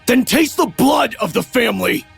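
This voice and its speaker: A man's voice: very high-pitched, expressive, moderate pace, vocal fry, faint music behind, voice pushed up, full character voice. A man voicing an enraged, menacing young man who sneers and growls threats in a dramatic horror story.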